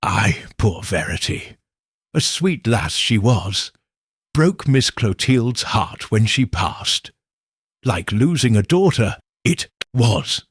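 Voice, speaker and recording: A man's voice: lowish voice, big pitch swings, slow, husky, no room sound, clean studio sound, exaggerated voice. Man as folksy, confiding old housekeeper woman. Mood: sorrowful. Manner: folksy, confiding, dialect phrasing. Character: stout grey-haired woman housekeeper, loyal, sorrowful, confiding.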